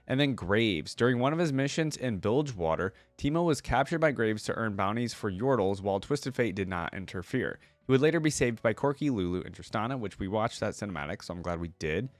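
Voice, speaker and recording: A man's voice: on the low side, animated, fairly quick, hardly any pauses, dry recording. Casual man hosting reactions, dry close sound. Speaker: reaction video host. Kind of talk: casual reaction commentary, some reading aloud.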